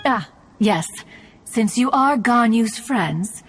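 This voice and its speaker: A woman's voice: fairly high pitch, expressive, slow. A woman voicing a haughty yet fond ancient mountain immortal in a video game, speaking in archaic, formal language. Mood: lofty, welcoming. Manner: archaic, formal, lofty speech. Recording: music playing behind.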